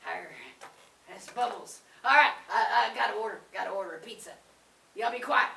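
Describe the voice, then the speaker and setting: Lady putting on a silly lovesick pirate guy, bright creaky growl, echoey room, bit far from mic. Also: medium pitch, moderate pace. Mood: pleading, smitten. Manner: growling, blustery, comic pirate talk. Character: goofy, lazy, lovesick male pirate.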